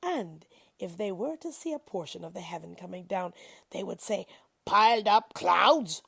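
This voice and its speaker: A woman reading religious scripture aloud expressively, with measured pauses and dramatic emphasis. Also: mid-range voice, lively intonation, gravelly, fairly bright tone, slightly rough, no room sound, clean studio sound. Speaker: reader of scripture.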